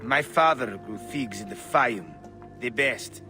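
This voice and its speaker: An actor playing a bitter rebel farmer's son bent on revenge in a video game, telling things grimly. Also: male voice, mid-range voice, slow pace, music in the background, lightly acted. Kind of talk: video game dramatic acting.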